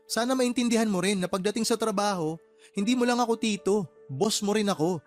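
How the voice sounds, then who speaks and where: An actor playing a firm, fair-minded uncle and shop boss who reasons and admonishes, in a Tagalog radio drama. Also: male voice, sits high, brisk, faint music behind, close to an everyday voice.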